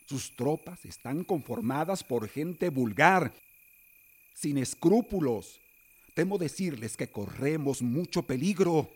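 A man's voice: fairly high pitch, lively intonation, takes it slowly, darkish tone, breathy edge, exaggerated voice. An actor playing a stern, fearful, middle-aged father with a Mexican accent in a radio drama, scolding and authoritative. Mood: grave, worried. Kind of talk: Spanish-language radio drama acting.

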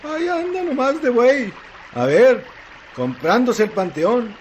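A man's voice: extremely high pitch, expressive, takes it slowly, noisy background, full character voice. An actor playing an exasperated Mexican working man who mutters to himself colloquially in a radio drama. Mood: brooding, exasperated.

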